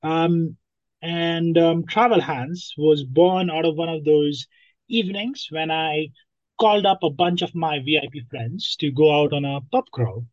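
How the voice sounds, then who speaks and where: A man's voice: on the high side, measured pace, no echo. Hesitant young man from Nepal, dry muffled sound. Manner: hesitant, many ums and restarts. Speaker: guest, app founder. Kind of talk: podcast interview.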